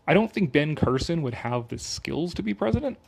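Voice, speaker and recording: A man's voice: fairly high pitch, vocal fry, a little mellow. A man doing a sarcastic dramatic reading, breaking off for casual, opinionated and mocking commentary with some swearing. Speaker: commentator reading an article aloud with his own remarks.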